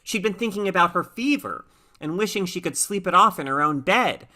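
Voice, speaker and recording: A woman reading a short story aloud as an expressive, dramatic narrator. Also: lowish voice, fairly quick. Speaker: story reader.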